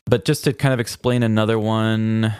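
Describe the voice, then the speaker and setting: A man's voice: on the low side, level tone, moderate pace, a little mellow, clear, resonant tone, dry recording, studio quality. An American man, around his thirties or forties, co-hosting a podcast in a casual, conversational style. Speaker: podcast co-host.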